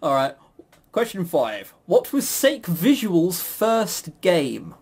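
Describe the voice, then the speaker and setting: A man's voice: high voice, wildly swooping pitch, takes it slowly, a little mellow, breathy edge. A man hosting a casual quiz, chuckling and teasing as he banters. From a Western country. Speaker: quiz host.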